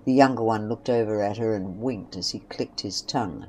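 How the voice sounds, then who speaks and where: A woman's voice: extremely low pitch, normal speed, warm tone. A woman narrating a scripted western story. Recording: faint background noise and ambience.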